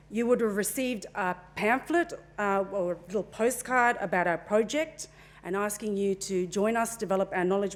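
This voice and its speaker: A middle-aged woman presenting at a forum into a handheld mic in a conference room, relaxed and chatty with asides. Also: mid-range voice.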